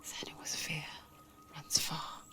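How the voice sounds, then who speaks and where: An actress voicing an eerie, knowing inner-voice narrator in a video game, whispering with slow, deliberate, intimate menace. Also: female voice, lightly acted. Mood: grave, intimate. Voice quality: breathy whisper. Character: eerie female narrator spirit voice in Senua's head, knowing, ominous.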